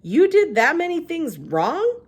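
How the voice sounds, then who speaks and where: A woman reads as a young girl from a children's storybook, her pitch lifted and swinging freely. She is outspoken and earnest, blunt in a childlike, folksy way. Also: wildly swooping pitch, unhurried, full character voice. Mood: earnest, uncertain.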